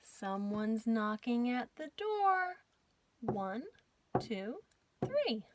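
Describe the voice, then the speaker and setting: Woman, high voice, animated sing-song storytime reader for young children, noticeable background noise. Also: very slow. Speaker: children's librarian hosting storytime.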